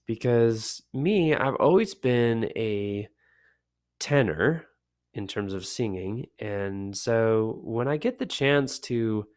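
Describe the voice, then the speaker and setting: A man hosting a casual solo podcast, sick with a lowered, deep voice, talking in a relaxed, rambling way with many fillers. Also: smooth. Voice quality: deep, low voice. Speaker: podcast host.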